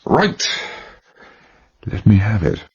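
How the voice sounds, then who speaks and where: An actor playing a suave, commanding British gentleman villain in a radio drama, with an urbane, lordly drawl. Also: lowish voice, huge pitch swings, slow, gravelly, breathy edge, highs cut off, full character voice. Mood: brisk, polite.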